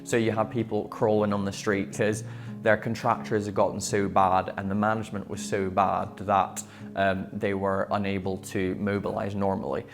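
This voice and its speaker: A man who grew up in Malawi, talking about his work with relaxed, explanatory speech and some fillers. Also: lowish voice.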